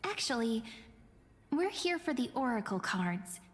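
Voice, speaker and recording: A woman voicing a mysterious young fortune reader in a video game, speaking softly with a teasing, wistful manner. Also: fairly high pitch, big pitch swings, unhurried, breathy edge, exaggerated voice. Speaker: game character.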